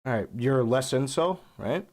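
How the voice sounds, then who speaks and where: A man's voice: medium pitch, animated, normal speed, a little mellow. Blunt, casual male streamer. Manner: blunt, casual, swears freely. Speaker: streamer and debate host. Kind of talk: live stream debate talk.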